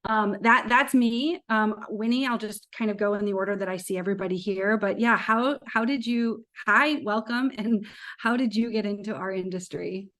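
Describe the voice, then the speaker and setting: A woman's voice: medium pitch, normal speed, fairly bright tone. A woman with an American accent moderating an online panel in an informal, conversational way. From United States, Indiana. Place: virtual event video call.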